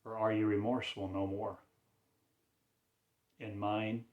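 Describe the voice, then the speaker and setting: A man delivering a rambling spiritual monologue in a slow, drawn-out, cryptic declaiming manner. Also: fairly low pitch, flat delivery, roomy sound, narrow, tinny audio.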